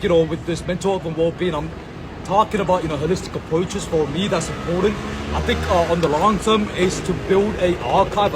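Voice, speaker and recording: A man's voice: sits high, noisy background. A man from Sri Lanka recording a rambling outdoor monologue as a content creator, full of slang, fillers and repeated catchphrases. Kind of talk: rambling self-filmed monologue. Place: outdoors in a public space.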